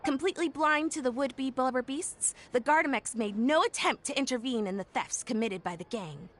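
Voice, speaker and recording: A woman voicing an enthusiastic young female tech inventor in a video game, with an upbeat pitchman's patter. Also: moderate pace. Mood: explaining. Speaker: game character.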